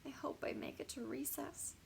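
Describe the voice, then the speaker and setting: A woman's voice: sits high, big pitch swings, unhurried, pushed and bright, a little hiss or hum, voice pushed up, exaggerated voice. A woman voicing a nervous young schoolchild in a children's storybook, with a dramatic, anxious, confiding manner. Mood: hushed dread.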